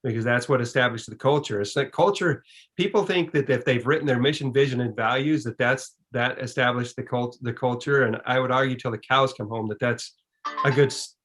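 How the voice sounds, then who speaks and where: A man's voice: mid-range voice, brisk, a bit bright. A man speaking as a guest in an online presentation and Q and A, explaining in an emphatic, folksy way. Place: online video call.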